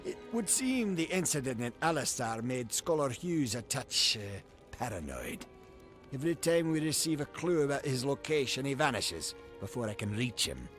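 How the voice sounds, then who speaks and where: A haughty gnome patron speaks at a middle pitch over faint music. He is measured and precise, pompous and condescending, a schemer in a fantasy game. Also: big pitch swings, fairly bright tone. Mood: smooth, scheming. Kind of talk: dramatic fantasy video game voice acting.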